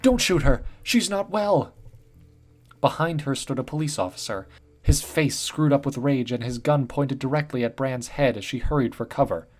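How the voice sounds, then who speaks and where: A man reading a dark fantasy story aloud as narrator, steady and dramatic. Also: medium pitch, moderate pace, faint music behind.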